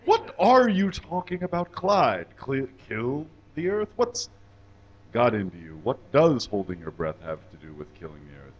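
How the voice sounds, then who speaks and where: A man's voice: mid-range voice, sing-song, normal speed, highs cut off. A man playing an exasperated, authoritative friend in a live comic puppet show, with exaggerated, emphatic delivery. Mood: exasperated, scolding. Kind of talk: live comedic puppet show, reading from script. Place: small comedy stage. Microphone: handheld stage microphone.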